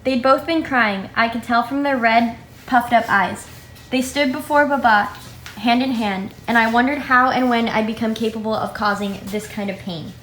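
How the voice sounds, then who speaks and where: A woman's voice: fairly high pitch, normal speed, a bit bright. A woman giving a scripted dramatic reading as a readers' theatre narrator. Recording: echoey room with faint noise.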